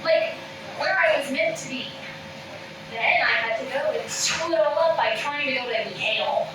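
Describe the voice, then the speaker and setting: A woman's voice: sits high, normal speed, natural voice. A woman at a comedy table read playing an earnest, prim, self-deprecating podcast host who scolds in exasperation. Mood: wistful. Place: indoor room at a table read. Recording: roomy echo, distant mic, background noise.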